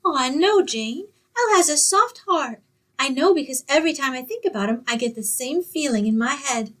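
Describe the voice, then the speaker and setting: An actress plays a sweet, scatterbrained young woman in a vintage radio comedy. Her voice is very high and swings freely up and down. She is earnest, literal-minded and tenderly pleading. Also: expressive, moderate pace, fairly bright tone. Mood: earnest, innocent. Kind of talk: old-time radio situation comedy acting.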